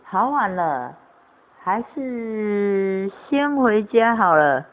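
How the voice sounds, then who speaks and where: A woman performs an amateur radio play at an unhurried, slow pace. The sound is thin and phone-like, with a faint layer of noise around the voice. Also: mid-range voice, sing-song.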